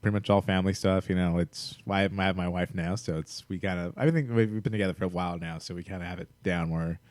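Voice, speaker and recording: A young married man co-hosting a podcast, relaxed and laid-back, swearing casually in easy chat. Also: low voice, quick pace, no echo. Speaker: podcast co-host.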